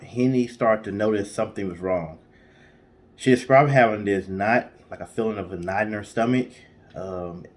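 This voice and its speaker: A man co-hosting a storytelling show, reading a story aloud in a casual, conversational way. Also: fairly low pitch. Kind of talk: casual storytelling read from notes.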